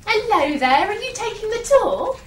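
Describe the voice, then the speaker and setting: A chirpy, gossipy Englishwoman speaks in a very high, wildly swooping voice. She sounds far off in a roomy space with some faint background noise. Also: very animated, moderate pace. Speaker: comedy sketch performer. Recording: roomy echo, distant mic.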